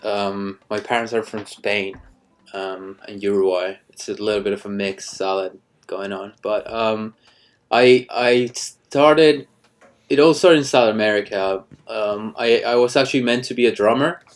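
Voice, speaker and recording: A man in his thirties from Ecuador, a cinematographer chatting casually in an interview, halting with many restarts and fillers. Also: on the low side. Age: 30s. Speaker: cinematographer guest.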